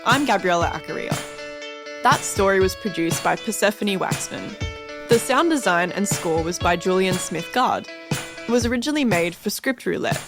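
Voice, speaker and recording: Casting: female radio host, mid-pitched, scripted links with music underneath.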